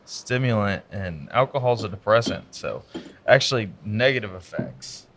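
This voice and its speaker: A man's voice: on the low side, lively intonation, normal speed, a bit bright, a little hiss or hum. A man co-hosting a casual podcast from a cigar lounge, wisecracking and teasing. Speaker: podcast co-host. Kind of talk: casual chat.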